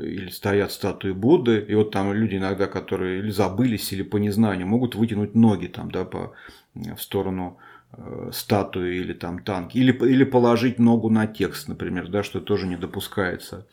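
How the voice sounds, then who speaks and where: Low-voiced man lecturing, thinking aloud. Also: creaky, a little mellow. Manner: thinking aloud, many fillers and restarts, self-deprecating. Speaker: podcast host teaching a Buddhist text. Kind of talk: informal spoken lecture.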